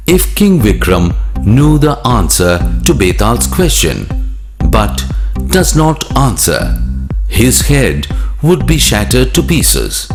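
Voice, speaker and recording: Slow, expressive male storyteller over music. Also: fairly low pitch. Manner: formal, addressing children directly. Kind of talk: scripted narration for children.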